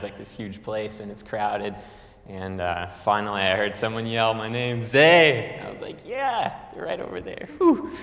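A man's voice: mid-range voice, fairly bright tone. Young man telling a story in an echoey hall. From Nebraska. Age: young adult. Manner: chatty, laughing, full of fillers. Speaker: student speaker. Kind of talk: storytelling speech. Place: lecture hall. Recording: echoey hall with long reverb.

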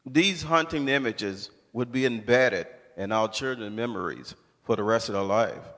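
A man's voice: fairly low pitch, normal speed, fairly bright tone. Man reading testimony in an echoing hall. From Shelby, Mississippi, USA. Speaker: speaker in a readers' theater presentation. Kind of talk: rehearsed spoken testimony read aloud. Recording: echoing hall with long reverb.

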